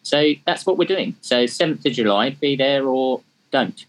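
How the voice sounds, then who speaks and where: A man's voice: mid-range voice, expressive, moderate pace, poor audio. A man in his fifties from England, with an English accent that has a slight Australian tinge, chatting as an interview guest in a self-deprecating way with frequent ums. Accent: English, with some Australian tinge. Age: 50s. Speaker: guest, charity track day organiser. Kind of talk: casual interview talk.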